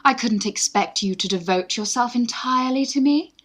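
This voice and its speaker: A woman's voice: on the high side, normal speed, a bit bright. An actress playing a polite, composed young Victorian lady in a radio play, with a proper, formal manner. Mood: polite, coy. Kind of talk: period stage acting for radio play.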